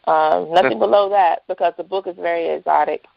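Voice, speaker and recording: A woman's voice: fairly low pitch, a little fast, creaky, no echo. A woman chatting casually as a guest in a phone interview. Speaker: interview guest, writer and entrepreneur. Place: phone call. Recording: dull lo-fi phone line.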